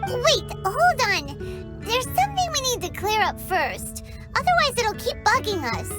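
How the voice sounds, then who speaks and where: A woman voicing a tiny, excitable floating fairy companion who talks of herself in the third person, in a childlike, squeaky way. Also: a little slow, exaggerated voice. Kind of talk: game cutscene voice acting. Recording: game cutscene with loud music.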